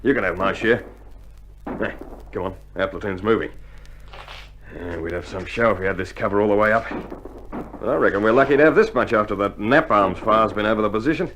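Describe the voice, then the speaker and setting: An actor playing a seasoned, gruff older Australian infantryman in 1960s radio drama style, trading slangy, wry banter. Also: a little fast, pauses often, fairly bright tone, natural voice. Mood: wry, steady. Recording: noisy old vinyl radio drama, battle rumble.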